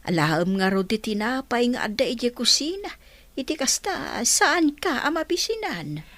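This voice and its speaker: A gentle, fussing old grandmother, put on by a woman for an audio drama. The voice is fairly high and swings in pitch, sounding caring, on a dry recording. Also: big pitch swings, normal speed, no room sound. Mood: tender. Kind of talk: dramatic audio story acting.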